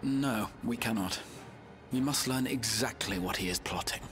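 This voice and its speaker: An actor playing a determined male comrade in a video game, speaking in a serious, deliberate manner. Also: medium pitch, a bit bright, soft background music, lightly acted. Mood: grave determination. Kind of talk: dramatic video game voice acting.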